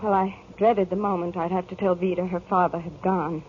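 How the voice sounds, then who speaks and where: An actress playing a devoted, long-suffering mother in a 1940s radio noir drama, speaking earnestly and sometimes haltingly. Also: lowish voice, steady tone, close to an everyday voice. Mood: weary, reflective. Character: hardworking middle-aged mother, devoted, long-suffering, recounting her troubles. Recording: muffled, noisy 1940s radio broadcast.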